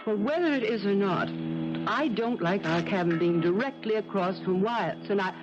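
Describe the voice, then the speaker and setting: An actress playing a nervous, uneasy wife in a 1970s radio drama. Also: female voice, mid-range voice, expressive, moderate pace, lightly acted. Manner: anxious, uneasy. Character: nervous, fearful young-ish wife. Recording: dull lo-fi old radio broadcast.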